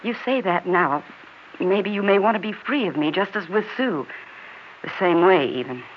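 An actress playing an anxious, suspicious mistress in a 1960s radio drama, with an American accent and a hesitant, pleading manner. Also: female voice, fairly low pitch, moderate pace, natural voice. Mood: afraid. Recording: muffled, hissy 1960s radio recording.

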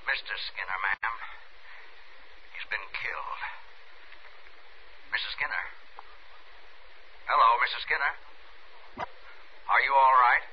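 An actor in a 1950s radio drama playing an awkward, kindly sheriff's officer who speaks haltingly and apologetically. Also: male voice, mid-range voice, close to an everyday voice. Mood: awkward, concerned. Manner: halting, fumbling, soothing. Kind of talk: 1950s radio naturalistic acting. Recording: muffled, noisy 1950s radio recording.

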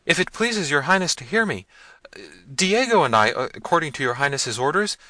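Man putting on a high-pitched, panicky castle servant. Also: lively intonation, moderate pace, sharp tone, no echo. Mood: terrified, babbling. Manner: flustered, pleading, long-winded. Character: frightened, babbling, deferential male castle servant. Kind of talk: dramatised gothic novel reading.

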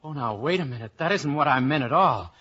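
A man's voice: mid-range voice, lively intonation, a little fast, bright, forceful tone, husky. An actor playing a plain-talking, earnest American detective who narrates his own story in a radio drama. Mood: pleading. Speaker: actor in leading part and first-person narrator. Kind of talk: 1950s radio drama acting. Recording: muffled vintage radio broadcast with music.

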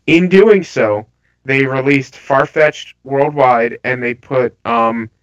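A man with a stuffy, under-the-weather voice, chatting casually about games with halting restarts. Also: mid-range voice. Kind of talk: casual gaming chat. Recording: slightly dull lo-fi audio.

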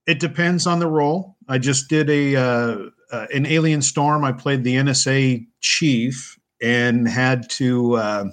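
A man's voice: mid-range voice, steady tone, measured pace, hardly any pauses. A man, an actor guest, talking easygoing and self-deprecating on a radio show through a headset mic. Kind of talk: casual interview. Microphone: headset mic over a video call.

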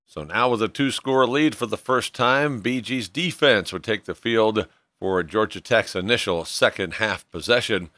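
Man, sports show host, low-leaning pitch, bright forceful tone, scripted game recap, dry sound. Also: moderate pace, dry recording.

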